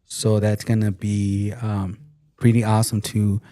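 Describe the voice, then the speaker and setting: A man in his forties with a low, dark voice co-hosts a casual podcast. He talks bluntly, jokes around, and swears freely. The sound is dry. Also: monotone, measured pace, dry recording. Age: 40s. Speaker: podcast co-host. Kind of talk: casual chat.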